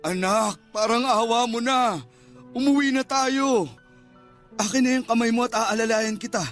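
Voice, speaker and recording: Radio drama role: protective, remorseful Filipino father, older, high-pitched, earnest, music underneath. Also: moderate pace, a bit bright. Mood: pleading, alarmed. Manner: pleading, earnest.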